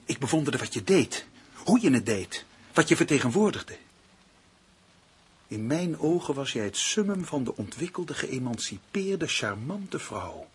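Bitter, vengeful man, wildly swinging pitch. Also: sing-song, a little slow, husky, exaggerated voice. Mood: anguished, bitter. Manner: theatrical, intense monologue swinging from hushed to shouting. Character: bitter, vengeful male writer turned murderous, domineered by partner. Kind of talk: Dutch radio play acting. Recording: noisy old radio play recording.

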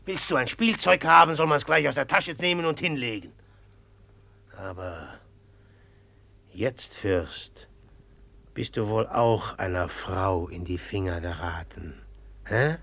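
A man's voice: on the low side, slow, creaky, pauses often, sharp tone, no echo, exaggerated voice. An actor playing a brooding, jealous young man in a 1950s radio play, speaking bluntly and with mockery. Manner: blunt, sullen, mocking. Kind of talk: 1950s radio drama acting.

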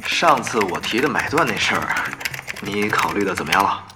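A man voicing a smooth-talking game guild leader. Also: on the low side, lively intonation, brisk, very noisy, lightly acted. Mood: persuasive. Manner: ingratiating, persuasive, chummy. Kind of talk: audio drama acting.

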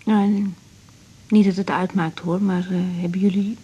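A woman's voice: mid-range voice, flat delivery, a little slow, mellow, breathy edge, lo-fi sound. An actress in a radio drama playing a young woman doctor, hurt and bluntly confrontational, over a noisy recording. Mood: suspicious, hurt. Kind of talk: naturalistic 1980s radio drama acting.

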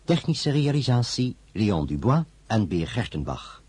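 A man reads scripted broadcast credits as an announcer in a formal, measured manner. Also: on the low side, very animated, a little mellow, husky, no echo. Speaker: announcer reading radio drama credits.

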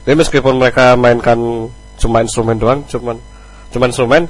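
A man's voice: mid-range voice, flat delivery, brisk, poor audio. A man chatting casually as a band musician being interviewed, hesitant and thinking aloud.

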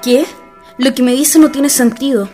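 Woman as president's daughter in a radio play, proper and dignified, highish voice, faint music under. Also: fairly even intonation, normal speed, mellow, natural voice. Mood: incredulous. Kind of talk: historical radio drama acting.

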